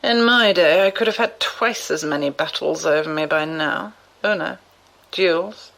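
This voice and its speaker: Cranky bragging old-timer, creaky piercing voice, audio drama. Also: mid-range voice, a little slow, a bit bright, low-quality recording. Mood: scornful. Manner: boastful, scoffing. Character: scornful old-timer boasting of past battles.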